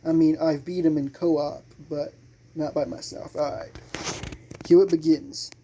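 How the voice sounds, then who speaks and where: A young man doing casual gameplay commentary as a channel host, halting and sometimes muttering. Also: on the high side, fairly even intonation, dark tone, slight background noise.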